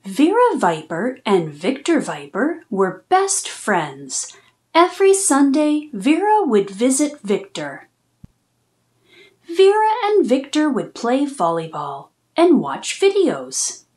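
A woman reads a children's storybook aloud at an unhurried pace. Her pitch moves widely and expressively, and she phrases each line deliberately for small listeners. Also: huge pitch swings, darkish tone. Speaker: children's read-aloud host.

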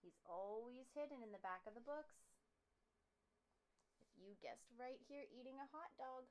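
A woman's voice: on the high side, animated, moderate pace, rough recording. A young adult woman chatting casually to children as a read-aloud presenter, recorded in a small room at home.

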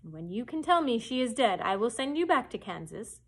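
Woman doing Oz, a booming wizard head. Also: sing-song, husky, heavily acted. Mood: commanding. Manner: commanding, loud and slow. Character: booming giant head of a great male wizard.

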